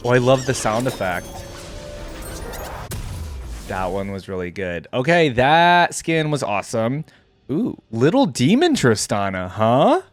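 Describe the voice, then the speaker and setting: A man gives off-the-cuff commentary on game skins. His mid-pitched voice moves around in an animated, enthusiastic way as he thinks aloud. Music plays behind him. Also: a little slow. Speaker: reaction video host. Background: game music and effects.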